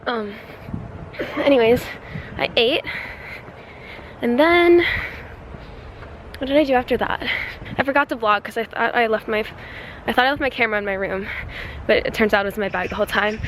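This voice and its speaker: Casting note: bright-voiced woman, stuffy nose, rambling vlog chatter over constant background noise. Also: a little high, normal speed, long pauses. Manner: chatty, rambling, laughs often. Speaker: vlogger, exchange student. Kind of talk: casual vlog chat to camera. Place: dorm room, then outdoors on campus. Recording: noisy background.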